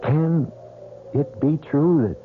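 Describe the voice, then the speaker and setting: An actor playing an earnest, romantic gentleman planter, theatrical and impassioned, in an old radio drama. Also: on the high side, slow pace, over loud music. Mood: wistful. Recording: tinny, noisy old radio broadcast.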